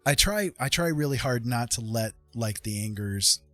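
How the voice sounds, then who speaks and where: A middle-aged American man chatting reflectively on a livestream, speaking earnestly and emphatically with halting pauses. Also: medium pitch, lively intonation, fairly bright tone, faint music behind. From Indiana, United States. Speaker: livestream host. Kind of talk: casual reflective livestream chat.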